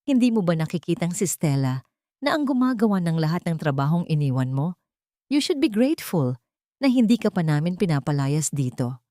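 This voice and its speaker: A woman's voice: fairly low pitch, sing-song, a little fast, warm tone, no echo, clean studio sound. A woman with a Filipino accent voicing a cold, scornful, impatient husband in a dramatic story, curt and commanding.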